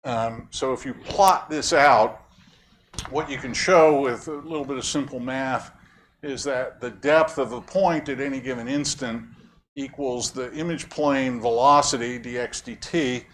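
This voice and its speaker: A man's voice: medium pitch, a little slow, a bit bright. Guy giving a lecture in a room, chatty, jokes with the crowd, bit of hiss. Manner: conversational, quizzes audience members, wry jokes. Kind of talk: academic lecture with audience questions.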